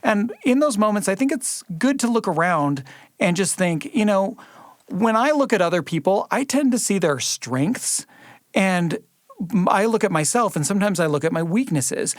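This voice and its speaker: A man's voice: sits high, lively intonation, moderate pace, no room sound, clean studio sound. A man co-hosting a podcast, speaking in a conversational, reflective way. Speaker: podcast co-host. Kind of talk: conversational talk and credit reading.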